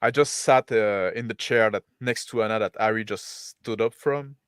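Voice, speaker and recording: A man playing a tabletop roleplaying game, describing his character's actions in a hesitant, halting way. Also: lowish voice, a bit bright, clean tone, no echo, studio quality. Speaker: player.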